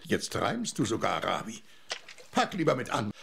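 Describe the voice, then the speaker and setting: A gruff yet kindly old grandpa from a children's radio play. His gravelly voice rises and falls in lively bluster. Noise is clearly audible behind him. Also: on the low side, normal speed, full character voice. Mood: worried, kindly. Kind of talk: children's audio drama acting.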